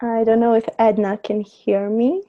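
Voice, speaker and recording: A woman's voice: a little high, little pitch movement, normal speed, narrow, tinny audio. A woman hosting a webinar on a video call, politely calling on each guest. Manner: polite, apologetic about names. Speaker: webinar host.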